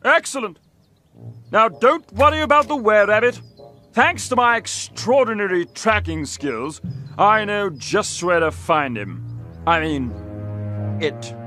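A man playing a vain, scheming English gentleman hunter in an animated video game, theatrical and haughty. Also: sits high, a little slow, long pauses, over music. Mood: smarmy.

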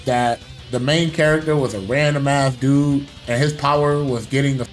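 A man's voice: medium pitch, moderate pace, faint music behind. A young man chatting casually like a streamer in a room, blunt and slangy in his commentary. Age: young adult. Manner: slangy, animated, blunt, says bro often. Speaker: guest commentator ranking movies.

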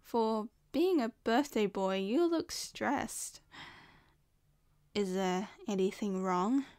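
Woman acting a possessive young Australian woman, unhurried. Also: mid-range voice, animated, darkish tone, dry recording, clean studio sound. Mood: sweet, probing. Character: possessive, jealous young yandere woman, sweet but scheming. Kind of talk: ASMR roleplay acting.

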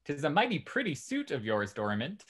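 Man playing an animated, courteous young Restoration gentleman. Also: fairly high pitch, moderate pace, slightly rough, full character voice. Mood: amused, gossipy. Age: young adult. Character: genial young gentleman in love, courteous gossip. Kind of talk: stage acting in a Restoration comedy over video call. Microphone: video call.

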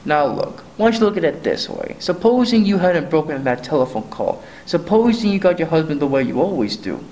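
A man's voice: on the high side, sing-song, brisk, a little mellow, poor audio. A man acting a patronizing police sergeant, placating and brusque, in a 1940s-style radio thriller play. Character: dismissive, bored, patronizing male police sergeant. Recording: faint room noise.

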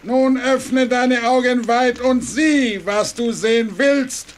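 Very high-pitched, imperious emir. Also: male voice, steady tone, takes it slowly. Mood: solemn menace. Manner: ceremonious, imperious. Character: solemn, merciless Tatar emir pronouncing judgement. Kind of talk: radio play acting. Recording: faint background noise.

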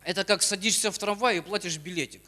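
A middle-aged man preaches in short exhorting phrases, pausing for an interpreter. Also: high voice, pushed and bright. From Ukraine. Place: church auditorium stage. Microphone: handheld microphone. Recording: long hall reverb from church PA.

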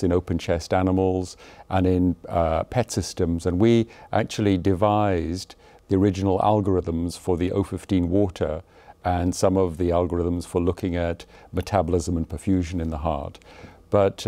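Older academic man, studio interview, lapel mic, dry room, deep and warm. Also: no echo. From Zimbabwe and Malawi. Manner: self-deprecating, thoughtful, frequent hesitations. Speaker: cardiology professor, interview guest. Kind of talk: relaxed conversational interview. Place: studio set with a blue backdrop.

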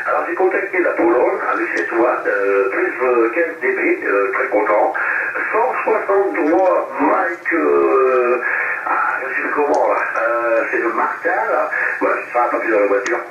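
A man's voice: a little high, highs cut off. Echoey, distant man over CB radio. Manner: rambling, hesitant, repetitive. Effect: voice heard over two-way radio. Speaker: amateur CB radio operator. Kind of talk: casual radio chat. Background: radio static noise.

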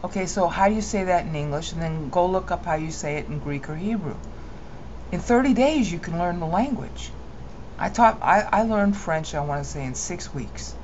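A woman giving a rambling, opinionated screen-recorded software tutorial, often correcting herself mid-sentence. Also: fairly low pitch, animated, normal speed, muffled recording, slight background noise.

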